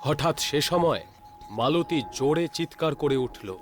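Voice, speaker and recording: A man's voice: on the high side, unhurried, soft background music. A man narrating a scripted ghost story as a storyteller.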